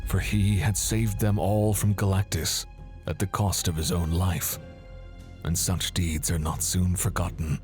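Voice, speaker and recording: A male narrator with a low voice reads dramatic scripted prose. He is slow, measured and grave throughout. Music plays clearly behind him. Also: darkish tone.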